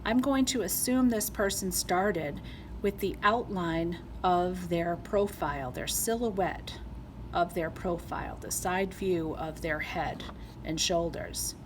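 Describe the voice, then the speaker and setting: A middle-aged woman from Rhode Island, an art teacher explaining a lesson step by step in a gentle, patient way with frequent ums. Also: lowish voice, takes it slowly, noisy background. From Rhode Island, USA.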